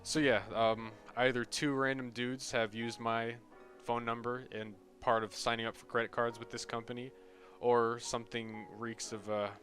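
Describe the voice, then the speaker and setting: A man's voice: medium pitch, little pitch movement, normal speed, a bit bright. Sarcastic young American man over music. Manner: sarcastic, profane. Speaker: video creator. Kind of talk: casual comedic rant commentary.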